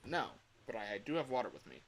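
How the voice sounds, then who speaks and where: A man's voice: mid-range voice, sing-song, fast talker, vocal fry, slight background noise, rough recording. A man with a worn, strained voice giving casual, rambling livestream commentary while he plays a game, easily sidetracked from what he was saying. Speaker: livestreamer playing games. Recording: loud game music behind voice.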